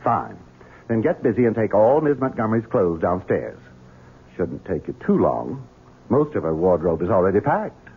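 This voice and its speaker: A scheming gentleman who coaxes with honeyed words and snaps into orders. His voice sits low and breathy with lively swoops in pitch. It comes through a narrow, phone-like old radio broadcast. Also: moderate pace, heavily acted. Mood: commanding. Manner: honeyed, wheedling, turns commanding. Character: scheming Southern gentleman, smooth and sinister. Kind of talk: 1970s radio drama acting.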